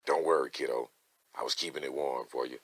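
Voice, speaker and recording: An actor playing a kindly, easygoing survivor in a motion comic, gentle and hesitant, with a very deep gravelly voice. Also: male voice, fairly even intonation, fast talker, no room sound, studio quality. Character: kindly, easygoing big man among survivors.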